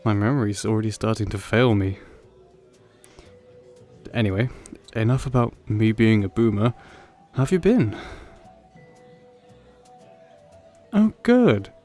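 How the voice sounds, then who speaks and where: A man's voice: mid-range voice, animated, moderate pace, warm tone, soft background music, natural voice. A man playing an awkward, wistful young old friend in an audio roleplay, talking hesitantly and rambling in a self-deprecating way. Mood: friendly, awkward. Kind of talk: audio roleplay, conversational acting addressed to listener.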